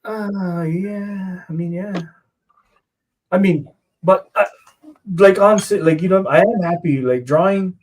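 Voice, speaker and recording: Man, co-host, casual chat, rambling, fillers, somewhat high voice. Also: unhurried, pauses often, a little mellow, rough recording. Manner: rambling, keeps saying "you know what I mean". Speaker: co-host and comic artist.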